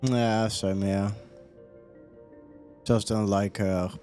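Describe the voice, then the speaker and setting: A man's voice: fairly low pitch, flat delivery, drawn-out words, mellow, soft background music. A man giving casual live gameplay commentary as a streamer, thinking aloud and reading on-screen text and chat. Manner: thinks aloud, questioning himself while playing. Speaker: game streamer.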